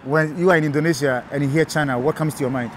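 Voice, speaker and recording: A man's voice: on the high side, fairly even intonation, rapid-fire. A curious man conducting a casual street interview, asking questions and echoing the answers. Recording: clear outdoor traffic noise.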